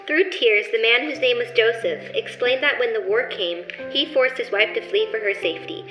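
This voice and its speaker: Woman, scripted radio drama narrator, echoey sound, soft music behind. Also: fairly high pitch, normal speed, lo-fi sound. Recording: echoey room, faint music.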